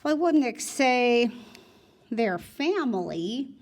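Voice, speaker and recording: A woman teacher reading a novel aloud in expressive, conversational first-person narration, like a classroom read-aloud. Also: fairly high pitch, slow pace. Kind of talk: read-aloud storytelling with brief teaching asides.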